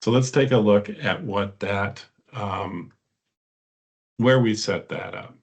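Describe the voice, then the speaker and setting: A man with a fairly low voice demonstrates software in an unscripted walkthrough. He explains one step at a time. His delivery is halting as he works through each part.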